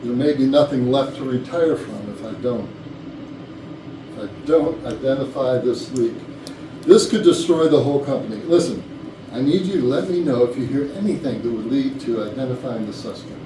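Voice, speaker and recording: A man's voice: mid-range voice, expressive, moderate pace, close to an everyday voice. A man playing an anxious, bossy and suspicious company boss near retirement in a staged reading of a comedy. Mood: worried, suspicious. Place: rehearsal room. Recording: noisy echoey room, distant mic.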